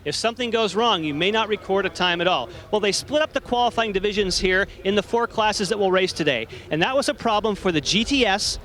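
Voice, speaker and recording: A man explaining qualifying strategy as a race analyst in a measured, explanatory way. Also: high voice, fairly bright tone.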